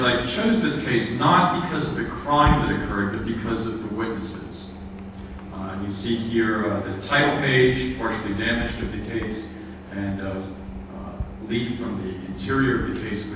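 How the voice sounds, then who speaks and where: A lower-voiced man lectures in a measured, earnest way, stopping often on filler sounds. He is picked up from a distance in a big echoing hall with a long tail of reverb. Steady noise hums behind him. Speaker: guest lecturer, historian. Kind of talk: public history lecture. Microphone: room microphone. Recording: echoey hall, distant mic, background noise.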